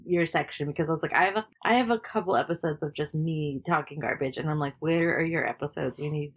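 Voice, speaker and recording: A woman co-hosting a laid-back podcast, her voice slightly low and a bit congested. She chats in an easygoing, relaxed way. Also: fast talker. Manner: relaxed, chatty, laughs easily. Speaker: podcast co-host. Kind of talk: casual chat.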